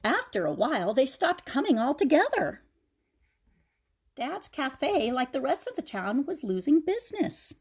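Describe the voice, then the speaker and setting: A woman reading a picture book aloud to children in a dramatic, expressive way, with chatty explanatory asides. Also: high-pitched, a little slow.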